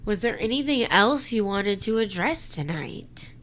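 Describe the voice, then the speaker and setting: Slow-talking, giggly woman livestreamer. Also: medium pitch, expressive, a bit bright. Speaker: live panel show host. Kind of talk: casual livestream chat. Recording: faint background noise.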